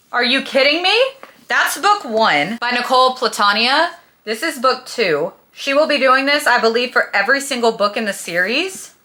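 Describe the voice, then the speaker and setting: A woman's voice: fairly high pitch, bright, forceful tone. A young adult woman chatting casually and emphatically to camera as a book vlogger, with a gushing manner. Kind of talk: casual chat about books to camera.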